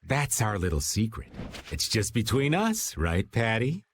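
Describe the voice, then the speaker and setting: A man's voice: lowish voice, wildly swooping pitch, unhurried, a little hiss or hum. An actor playing a playful, sly older man, a joking veteran adventurer in a video game. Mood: playful, teasing. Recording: music under the voice.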